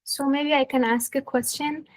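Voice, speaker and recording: A woman in the audience asking a question, tentative and halting. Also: high voice, fairly even intonation, poor audio.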